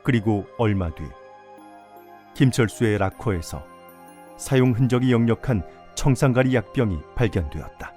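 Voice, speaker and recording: A man's voice: mid-range voice, expressive, moderate pace, dark tone, soft background music, lightly acted. A man narrating an audio drama from a script in a sober manner. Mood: sober, grave.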